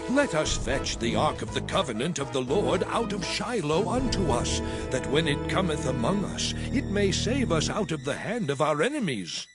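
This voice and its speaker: An actor playing a solemn, urgent elder of ancient Israel in a dramatized Bible reading. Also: male voice, fairly high pitch, very animated, moderate pace. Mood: troubled urgency. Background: loud music.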